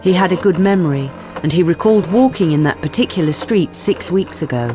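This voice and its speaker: A male narrator of a crime radio drama, reading scripted prose in a fairly high voice. Prominent music runs behind him. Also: a little fast.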